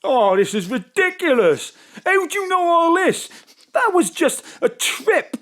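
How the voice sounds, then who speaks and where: Rattled pub guy in a radio play, high voice, slow drawn-out protests, very theatrical. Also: sing-song, fairly bright tone, heavily acted. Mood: defensive. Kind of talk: audio drama acting. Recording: remote home-studio recording.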